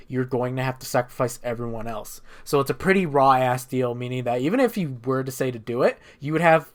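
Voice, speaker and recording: A man with a medium-pitched voice talks casually without a script. He rambles through a game recap as a video creator would, using loose slang and frequent profanity.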